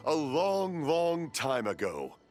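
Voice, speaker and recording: An old man's lively, swooping voice for a video game's kindly fireworks maker. He rambles like a storyteller with dramatic pauses, a little deaf to everyone else. Music plays clearly behind him. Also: a little high, exaggerated voice. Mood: dreamily storytelling. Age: older. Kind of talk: animated video game voice acting.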